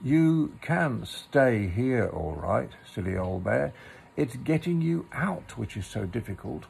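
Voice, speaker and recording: A man voicing a kind, sensible young boy in a storybook, gentle and measured. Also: mid-range voice, big pitch swings, gravelly, a little hiss or hum, full character voice. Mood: kindly.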